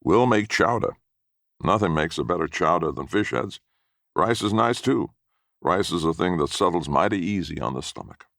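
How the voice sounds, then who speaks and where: A man's voice: deep voice, expressive, normal speed, vocal fry, fairly bright tone, no echo, clean studio sound, pitched above the performer's own voice, exaggerated voice. A man voicing a mean, blustering old grandfather with folksy rural speech in a story reading. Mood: pleased, boastful.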